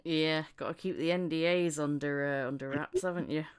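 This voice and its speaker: A woman's voice: sits low, steady tone, brisk, smooth. A woman hosting a podcast, chatting in a casual, self-deprecating way with a guest. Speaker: podcast host. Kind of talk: casual interview chat.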